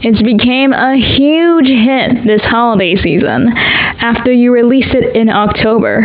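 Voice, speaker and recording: A woman's voice: fairly high pitch, lightly acted. A woman playing an inquisitive radio host in an audio drama, with a conversational, questioning manner. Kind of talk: radio interview acting. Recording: thin phone-like radio broadcast sound.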